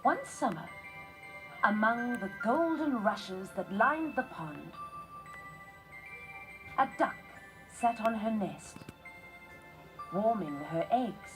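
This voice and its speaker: A woman narrating a children's story from a script in measured phrases with short pauses. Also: on the high side, expressive, rough recording. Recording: music behind the voice. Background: music.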